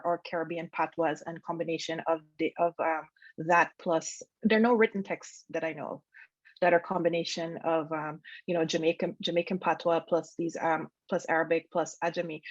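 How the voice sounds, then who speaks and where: Soft-voiced woman answering questions on a call. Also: lowish voice, no echo, highs cut off. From Guyana. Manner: thoughtful, many ums and restarts. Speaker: academic speaker. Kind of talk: answering questions after a lecture. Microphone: video call.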